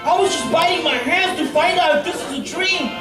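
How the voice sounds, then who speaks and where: A woman hosting a children's show, calling out to the kids in excited exclamations. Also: extremely high pitch, a little fast, music in the background. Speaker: children's church show host. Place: large church hall with a live crowd. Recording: echoey hall, distant mic, noisy crowd. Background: crowd of children and room noise.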